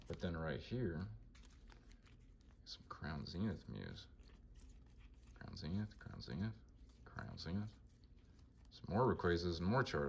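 A man with a monotone voice casually talking through his card collection, mumbling names as he flips through binders. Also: sits low, slightly rough. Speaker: collector vlogger.

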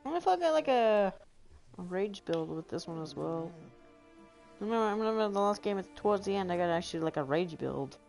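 A young woman gives casual, giggly gameplay commentary, often thinking aloud. Her pitch sits mid-range. Game music plays clearly behind her. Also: animated, a little fast, darkish tone. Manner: chatty, giggly, talks to herself. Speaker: streamer.

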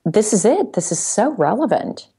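A woman's voice: mid-range voice, big pitch swings, moderate pace, warm tone, breathy edge. A woman talking conversationally as a guest on a business podcast, sharing her working experience. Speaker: regular podcast guest, corporate sales executive.

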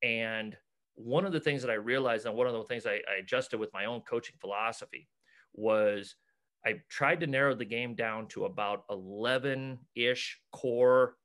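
Middle-aged American male coach, forceful bright tone, conversational, stop-and-start delivery with repeated words. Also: mid-range voice, normal speed. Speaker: basketball coach and clinic organizer. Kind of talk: casual video-call interview. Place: cluttered room at home, on a video call. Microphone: video call.

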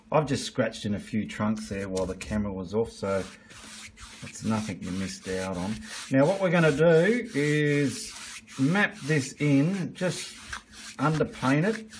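A man's voice: mid-range voice, a little hiss or hum. A man giving a casual, blunt painting tutorial while he works at an easel, with the odd mild swear.

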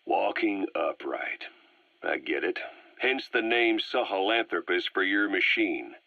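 A man's voice: low-pitched, lively intonation, a little slow, gravelly, no room sound, heavily acted. A man acting a suspicious mercenary commander in a video game, laconic and probing. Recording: dull, dry cassette-style recording.

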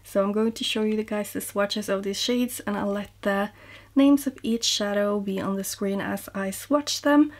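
A woman's voice: medium pitch, normal speed. Young woman, makeup vlogger at home, friendly and upbeat, mid-range voice. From Norway. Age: young adult. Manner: chatty and upbeat, drops to soft near-whisper at times. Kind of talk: beauty vlog, talking to camera. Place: small room indoors, home. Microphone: seated at desk facing camera.

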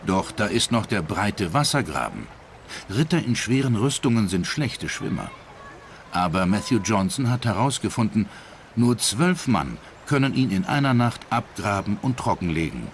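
A man's voice: lowish voice, sing-song, normal speed, a little hiss or hum. A man reading scripted documentary narration as the narrator. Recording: voice over background music. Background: music.

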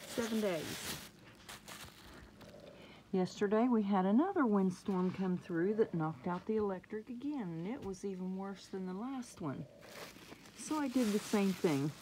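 A woman chatting excitedly about her seedlings, sometimes dropping to a murmur, recorded on a handheld phone indoors. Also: medium pitch, measured pace, a little hiss or hum, poor audio. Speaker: gardening vlogger. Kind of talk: casual home gardening vlog. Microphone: phone camera held close.

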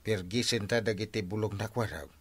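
A man's voice: fairly low pitch, steady tone, brisk, a bit bright, husky, no echo. A man playing a cantankerous, stingy village captain and husband in an Ilocano comedy radio drama, blustering and contrary. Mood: grumbling, blustering.

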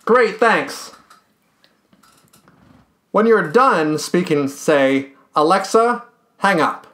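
A man in his thirties hosting a tech tutorial, speaking upbeat and clear straight to the camera in a small room at home. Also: high-pitched, animated, extremely slow, sharp tone. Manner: upbeat, clear presenter delivery. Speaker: tech channel host. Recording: roomy echo.

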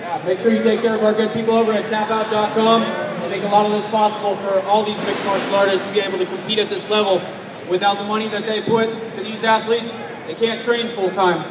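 A man's voice: high voice, level tone, quick pace. A man hosting a live event demonstration, putting questions to a guest and making announcements to the audience. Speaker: demo host. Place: large indoor expo hall. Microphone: distant handheld camera. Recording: echoey expo hall, distant, crowd noise.

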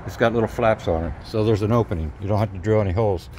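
A man's voice: fairly low pitch, rapid-fire, warm tone. A middle-aged man giving a casual, hands-on how-to in a folksy, hesitant, unscripted way. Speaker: DIY video maker. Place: outdoors at an open truck cab. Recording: clear outdoor ambient noise.

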